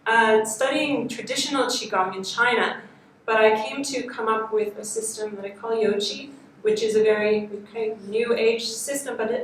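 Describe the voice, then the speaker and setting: Woman, meditation teacher, rambling, halting, distant mic, echoey. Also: mid-range voice, a little hiss or hum. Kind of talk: panel discussion.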